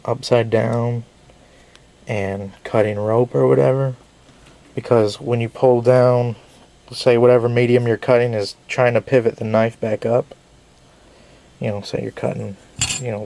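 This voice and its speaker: A man's voice: medium pitch, level tone, normal speed, vocal fry, warm tone, low-quality recording. A man, a little sick and losing his voice, giving a rambling casual knife review with frequent self-corrections.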